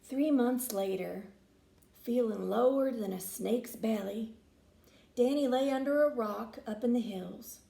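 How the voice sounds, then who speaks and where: A woman narrating a children's storybook in an expressive, theatrical way. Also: fairly high pitch, a little slow, gravelly, reverberant. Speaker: storybook reader.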